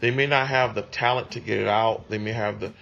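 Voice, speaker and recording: Unhurried man. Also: on the low side, steady tone, vocal fry, a bit bright, lo-fi sound, soft background music. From Detroit, USA. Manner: unhurried, conversational, with many ums. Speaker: music producer interviewee. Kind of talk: reflective interview answers.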